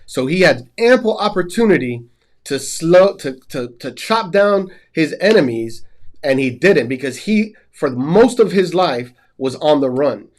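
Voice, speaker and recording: A man's voice: on the high side, expressive, measured pace, a little mellow. A man hosting a Bible study show, sounding nasal and stuffy from a cold, and earnestly retelling scripture background as a story. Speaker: host.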